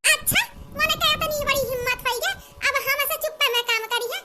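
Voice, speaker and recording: A woman voicing a cranky old village mother-in-law in a cartoon comedy, grumbling and scolding in an Awadhi accent with an artificially raised pitch. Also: quick pace. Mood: threatening. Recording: noticeable background noise.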